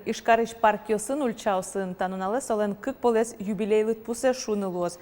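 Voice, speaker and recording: A woman reading the regional news as an anchor in a steady, scripted delivery. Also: a little high. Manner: steady, even newsreader delivery. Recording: long reverb, faint noise.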